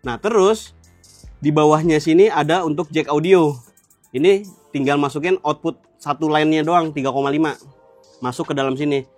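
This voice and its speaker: A young man with a Jakarta Indonesian accent and a slightly high voice walks through gear as a vlog host. He explains in a colloquial, casual way and often checks in with the viewer. Music plays behind him. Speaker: motovlog host.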